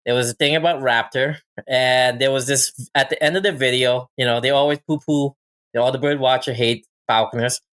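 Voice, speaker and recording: A man's voice: medium pitch, steady tone, brisk, a bit bright. A man from Thailand chatting casually as a podcast guest, telling personal stories as a falconer. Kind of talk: casual personal storytelling and chat.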